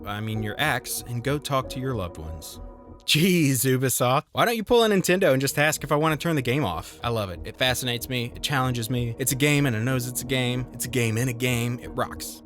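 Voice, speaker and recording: Wry, sarcastic male game reviewer over music. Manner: wry, sarcastic, with big jumps in pitch for jokes. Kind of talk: scripted comedic review narration.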